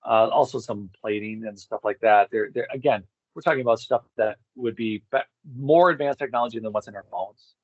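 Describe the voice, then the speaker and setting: A man chatting casually as an interview guest, halting and informal, often repeating words for emphasis. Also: mid-range voice, no room sound, highs cut off. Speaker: interview guest, tour organizer. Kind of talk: casual rambling conversation.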